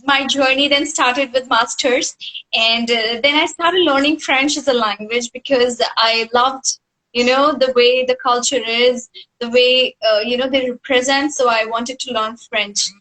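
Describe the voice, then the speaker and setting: A woman's voice: a little high, fairly even intonation, a little slow, bright, forceful tone, low-quality recording. A woman in her twenties with an Indian English accent, a native Hindi speaker, talking earnestly as an interview guest and often saying "you know". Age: 20s. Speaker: guest professor. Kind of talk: casual interview.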